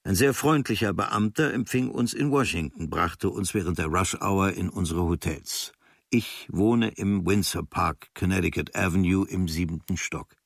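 An actor reading as an observant writer recounting travels from a diary, in clipped, dryly observant phrases with a husky voice. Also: male voice, sits low, normal speed, no echo, clean studio sound.

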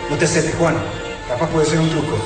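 An actor playing a wary, defiant invasion survivor in a radio drama. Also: male voice, a little high, fairly even intonation, quick pace, loud music behind the voice, lightly acted. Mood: suspicious.

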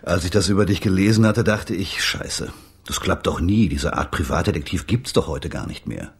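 An actor playing a gruff, cynical police detective with a husky voice and a sardonic manner in a radio play. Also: male voice, sits low, normal speed, exaggerated voice. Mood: sardonic, matter-of-fact. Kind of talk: naturalistic radio play acting.

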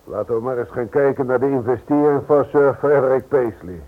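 A man playing a bossy, calculating, husky-voiced gang leader in a radio drama. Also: medium pitch, a little fast, close to an everyday voice. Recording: muffled, tinny old radio recording.

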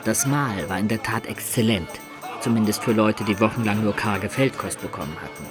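An actor playing a storyteller who recounts his medieval adventures as measured radio play narration. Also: fairly low pitch, music in the background, close to an everyday voice. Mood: recounting.

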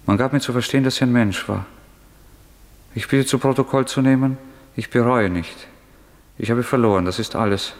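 An actor playing a proud, bitter young student murderer in a radio play, with an intense, sardonic, defiant delivery. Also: male voice, mid-range voice, normal speed, pauses often, lightly acted. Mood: bleak, proud confession. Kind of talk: German radio play acting.

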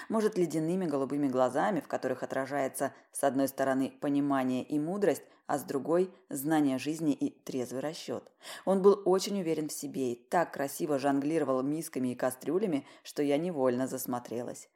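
Low-voiced woman narrating a story, wryly. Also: fairly quick, warm tone. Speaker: audiobook narrator. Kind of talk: first-person story narration, expressive reading.

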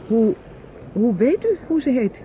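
An actress playing a meek, dutiful niece in a radio play, speaking in timid, halting, polite phrases. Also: female voice, a little high, sing-song, a little hiss or hum. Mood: hesitant, polite. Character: meek, hesitant, dutiful unmarried niece, quietly evasive. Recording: thin, phone-like old radio recording.

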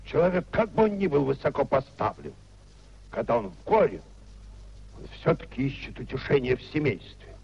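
A man's voice: mid-range voice, big pitch swings, moderate pace, gravelly, darkish tone, a little hiss or hum. An actor in a 1950s Russian radio play as a proud, bitter older nobleman, ruined and raging at his wife in declamatory, indignant style. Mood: self-pitying. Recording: dull, muffled 1950s radio recording.

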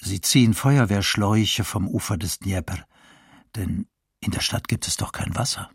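Raspy, low-voiced male eyewitness. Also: measured pace, no room sound, clean studio sound, heavily acted. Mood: tense, reporting. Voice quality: raspy, breathy. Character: shaken male civilian eyewitness of wartime explosions. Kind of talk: first-person testimony in documentary radio play.

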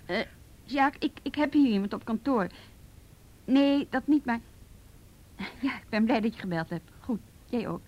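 An actress with a Netherlands Dutch accent playing a brisk, firm policewoman who handles youth cases in a radio drama. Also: on the high side, lively intonation, muffled recording. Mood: brisk, friendly. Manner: assertive, businesslike.

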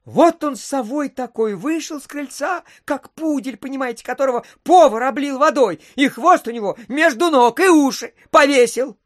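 Rambling, folksy storyteller official. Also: male voice, extremely high pitch, moderate pace, hardly any pauses. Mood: animated, ironic. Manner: folksy, rambling, peppered with filler phrases. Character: chatty provincial official spinning a tale. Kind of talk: theatrical storytelling in an audio play.

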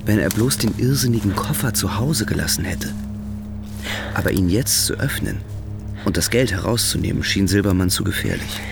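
A man's voice: lowish voice, moderate pace, gravelly, music in the background. A man narrating a radio drama in scripted literary prose.

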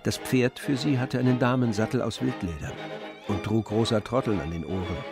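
A man's voice: mid-range voice, fairly quick, loud music behind the voice. A man reading scripted literary narration as the narrator of an audio drama.

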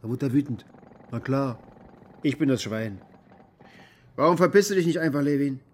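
An actor playing a bitter, maimed former military contractor who rambles coarsely and defensively in a naturalistic radio drama monologue. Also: male voice, medium pitch, lively intonation, moderate pace, slight background noise, close to an everyday voice. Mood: resentful. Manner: coarse, defensive, agitated rambling with rhetorical questions.

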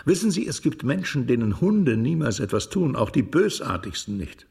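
A man's voice: mid-range voice, sing-song, normal speed, gravelly, a little mellow, breathy edge, exaggerated voice. An actor playing a Russian émigré ex-cavalryman who reminisces animatedly in a German radio drama. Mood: musing, animated.

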